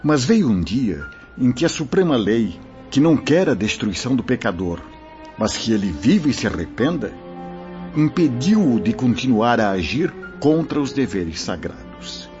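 An actor playing a wise, solemn spiritual mentor who teaches formally in a radio drama. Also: male voice, mid-range voice, sing-song, normal speed, darkish tone, lightly acted. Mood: grave explaining. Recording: dull lo-fi audio, music behind. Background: music.

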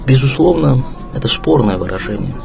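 A man's voice: mid-range voice, expressive, brisk. A man giving a reflective, philosophical address with emphatic phrasing. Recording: clear music behind the voice. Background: background music.

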